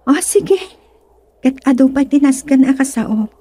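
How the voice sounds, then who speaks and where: An actress playing a calm, knowing envoy of the afterlife, speaking in a teasing, deliberate way in a comedic radio drama. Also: female voice, sits high.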